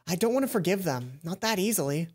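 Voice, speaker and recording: A man's voice: high voice, very animated, fast talker, breathy edge, exaggerated voice. A man voicing a hurt, defiant teenage girl gamer from a visual novel, reading her lines bitterly. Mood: resentful.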